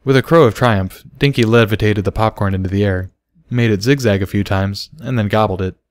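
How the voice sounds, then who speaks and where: A man's voice: lowish voice, fairly quick, clean tone, no room sound, clean studio sound. A man reading scripted story narration as an audiobook reader.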